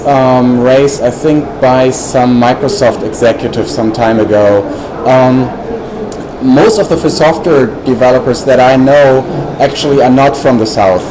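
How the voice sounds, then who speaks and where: A man on a panel replying at length to an audience question in a measured way, with frequent fillers. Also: medium pitch. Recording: noisy recording.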